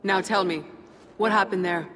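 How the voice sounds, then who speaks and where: An actress playing a proud, wary fire-giant warrior princess in a game cutscene, formal and imperious in manner. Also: female voice, mid-range voice, fairly even intonation, moderate pace, fairly bright tone, lightly acted. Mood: cool, demanding. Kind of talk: dramatic game cutscene acting. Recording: long hall reverb, faint music behind.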